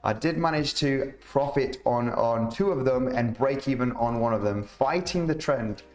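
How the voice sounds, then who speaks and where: A man streaming live chart analysis, chatty and rambling, reading out viewer comments, his voice slightly rough. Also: medium pitch, normal speed, a little mellow. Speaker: crypto market analyst streamer. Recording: roomy echo, faint music behind.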